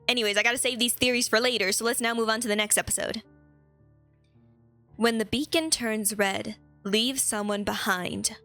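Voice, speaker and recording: A woman's voice: fairly high pitch, bright, forceful tone. A woman hosting a video, giving a scripted but casual story recap with chatty, conversational commentary. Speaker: video host.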